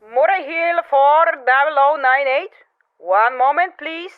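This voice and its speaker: Tinny, high-voiced telephone operator played by a woman. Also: takes it slowly, noisy background. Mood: routine, formal. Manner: formal, patient, formulaic. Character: polite, unflappable, by-the-book female telephone operator. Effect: telephone filter. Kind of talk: old-time radio drama acting. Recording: tinny narrow telephone line.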